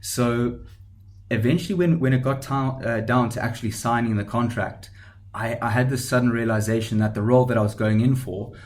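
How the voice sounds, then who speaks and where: A man's voice: medium pitch, brisk. A man in his thirties from South Africa with a South African accent, a startup founder talking through his career as a podcast guest, with frequent ums and restarts. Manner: hesitant, many ums and restarts. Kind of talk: conversational podcast interview.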